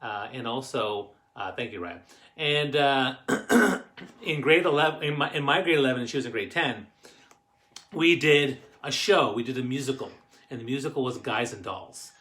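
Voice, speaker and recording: Bright-voiced middle-aged Canadian man, rambling storyteller. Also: medium pitch. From Canadian Maritimes. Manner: rambling, halting delivery. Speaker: host of a family stories show. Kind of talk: casual personal storytelling to camera.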